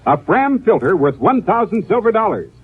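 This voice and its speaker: A man's voice: sits high, big pitch swings, normal speed, breathy edge. A man delivering an emphatic, repetitive 1950s radio advertisement as a commercial announcer. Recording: muffled, phone-like old radio recording.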